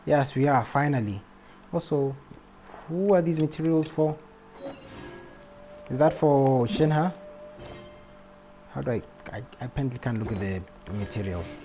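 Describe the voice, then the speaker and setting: A man with a mid-range voice chats casually while livestreaming a game. He is offhand and often mutters to himself. Game music plays clearly behind him. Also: medium pitch, unhurried, pauses often, rough recording. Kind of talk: casual chat with viewers while playing. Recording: stream voice with loud game music. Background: video game music.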